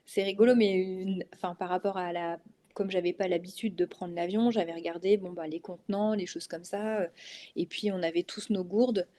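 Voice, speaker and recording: A woman's voice: medium pitch, dark tone. A woman in her thirties with a northern French accent, a mother of three, talking casually as a guest with many hesitations. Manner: hesitant, many fillers and restarts. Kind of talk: casual interview chat.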